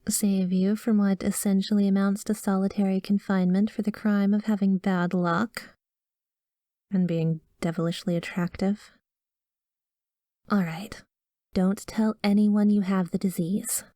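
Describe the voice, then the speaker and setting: A woman's voice: mid-range voice, mellow, no room sound, clean studio sound, natural voice. A woman playing a breezy, cutesy female doctor with an obsessive, coaxing streak in an ASMR roleplay. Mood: coaxing, conspiratorial. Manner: breezy, cutesy, coaxing, blithely delivering grim news. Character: chirpy, awkward female doctor, secretly an obsessive yandere stalker. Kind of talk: ASMR roleplay acting addressed to the listener. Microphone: close studio mic.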